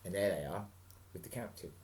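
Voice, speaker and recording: A man's voice: low voice, brisk, a little mellow, a little hiss or hum. A man reading a storybook dramatically and breaking off for chatty, playful asides.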